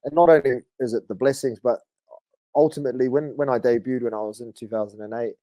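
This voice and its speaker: Kiwi man in his thirties, relaxed storyteller, warm and mellow tone, dry close-miked sound. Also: mid-range voice, fast, dry recording. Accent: New Zealand. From New Zealand. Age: 30s. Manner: laid-back, relaxed storytelling with frequent "bro" and fillers. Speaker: guest, rugby league player. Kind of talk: casual podcast chat.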